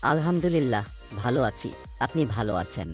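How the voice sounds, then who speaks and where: A woman's voice: rumbling low, lively intonation, moderate pace, soft background music. A woman voicing an inquisitive, sceptical young boy in casual cartoon dialogue. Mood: questioning.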